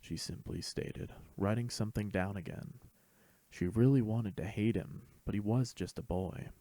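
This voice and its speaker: Low, gravelly male narrator. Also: normal speed, mellow, no room sound. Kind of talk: scripted fan fiction narration.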